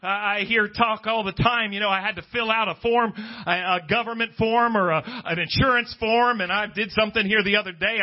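Male preacher, notably high-pitched, emphatic Pentecostal sermon delivery, repetitive, calls for agreement, dull church recording. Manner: emphatic, repeats phrases, prompts listeners for agreement. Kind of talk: Pentecostal-style sermon to a congregation.

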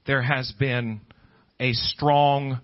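A man's voice: medium pitch, flat delivery, very slow, highs cut off. A man preaching a Pentecostal-style sermon to a congregation, speaking emphatically, repeating phrases and prompting listeners for agreement.

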